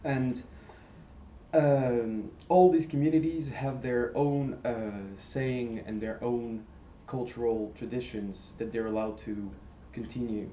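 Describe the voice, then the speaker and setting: Young guy talking it through slowly, lots of uhs, mid voice, slight hiss behind. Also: medium pitch, steady tone, warm tone. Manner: thoughtful, explanatory, many uhs. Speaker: student. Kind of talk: casual testimonial.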